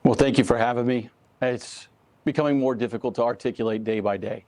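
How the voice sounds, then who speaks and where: A man speaking as a union spokesman in a news interview, earnest, measured and emphatic. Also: medium pitch, steady tone, a little mellow, no room sound. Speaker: union president, interview guest. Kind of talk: live news interview answers.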